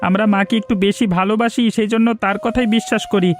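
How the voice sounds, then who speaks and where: A man playing an excitable young student son in a cartoon, given to protest and pleading. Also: sits high, quick pace, natural voice. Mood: apologetic. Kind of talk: Bengali cartoon voice acting.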